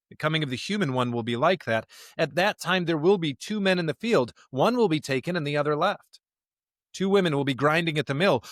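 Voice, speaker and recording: A man's voice: on the high side, no room sound, studio quality. A man co-hosting a podcast, talking through and reading scripture in a conversational, thinking-aloud way. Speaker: podcast co-host discussing scripture. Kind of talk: conversational Bible commentary with read-aloud scripture.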